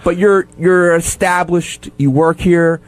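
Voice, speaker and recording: A man with a somewhat high-pitched voice debates casually on a radio show. He is insistent, pushing his point in conversational speech. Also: fairly even intonation, takes it slowly, no echo.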